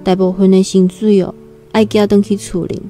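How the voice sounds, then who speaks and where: Timid young country girl, mellow voice, played by an actress. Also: medium pitch, level tone, normal speed, soft background music, close to an everyday voice. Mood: earnest, humble. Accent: Taiwanese. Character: timid nineteen-year-old country girl new in Taipei. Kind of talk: Taiwanese radio drama, first-person storytelling and dialogue.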